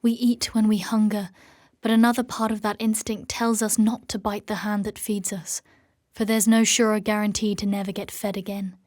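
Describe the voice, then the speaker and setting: An actress playing a grave, guarded warrior woman in a dark fantasy audio drama, speaking in a formal, measured, solemn way. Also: mid-range voice, little pitch movement, dry recording, clean studio sound, close to an everyday voice. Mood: solemn, brooding.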